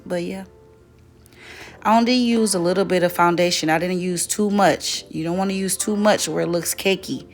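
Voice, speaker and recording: Slightly raspy young woman, low flat voice. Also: moderate pace, soft background music. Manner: relaxed, chatty, self-correcting. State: voice dry from weather. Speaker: beauty vlogger. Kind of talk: casual makeup tutorial chat.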